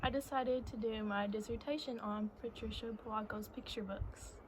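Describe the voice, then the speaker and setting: A woman's voice: on the high side, fairly even intonation, normal speed. A young woman from Arkansas reading a children's picture book aloud. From Arkansas, United States. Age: young adult. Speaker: storybook reader. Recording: very noisy, slightly dull and lo-fi.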